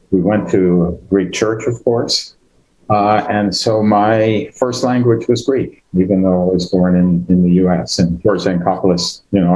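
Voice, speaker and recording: Deep-voiced older man. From United States. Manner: relaxed, halting with fillers and repeated words. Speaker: panelist. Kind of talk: conversational panel remarks. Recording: muffled lo-fi video call audio.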